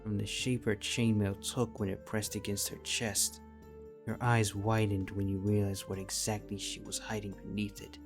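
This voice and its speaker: Deep-voiced man narrating a story. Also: flat delivery, moderate pace. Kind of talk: scripted fan fiction narration. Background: music.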